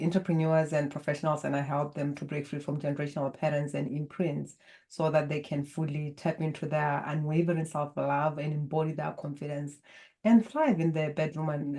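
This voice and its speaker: Botswana-accented woman, native Setswana speaker, guest healer; low, warm, calm, measured delivery. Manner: calm, measured, spiritual guidance tone. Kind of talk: interview conversation.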